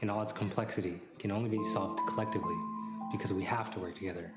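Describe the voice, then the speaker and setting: A man delivering scripted narration for a video in a measured, prepared way. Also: lowish voice, fairly even intonation. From US-Mexico border region. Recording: roomy voice under loud guitar music. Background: loud plucked guitar music.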